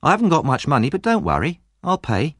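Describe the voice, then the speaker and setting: A man voicing a cocky, streetwise boy who acts like a grown gentleman, brisk and chummy in an audiobook story. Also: fairly high pitch, huge pitch swings, no room sound, clean studio sound, pitched above the performer's own voice. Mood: friendly, confident.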